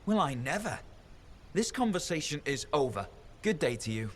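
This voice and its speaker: An actor playing a prissy, self-important gentleman in a video game, haughty and pompous. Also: on the high side, big pitch swings, moderate pace. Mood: affronted. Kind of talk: video game character dialogue.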